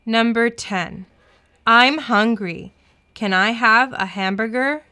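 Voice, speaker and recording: A woman's voice: on the high side, slow pace, sharp tone. A woman reading scripted English lesson lines for children, carefully enunciating each phrase.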